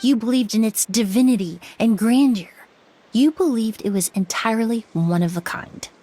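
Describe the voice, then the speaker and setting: A woman playing a composed young perfumer in a video game, measured, articulate and earnest as she explains. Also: a little high, mellow, slight background noise, lightly acted. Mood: firm, accusing. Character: composed young female perfumer, earnest, firm former apprentice. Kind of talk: dramatic video game voice acting. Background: background music.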